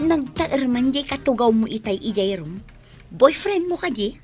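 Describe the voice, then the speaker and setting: A sassy young female student in an Ilocano radio drama. She has an Ilocano accent and a high, animated voice, teasing and theatrical, with faint music behind. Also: normal speed. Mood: teasing, mock hurt. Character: sassy, money-minded young female friend, theatrical teaser.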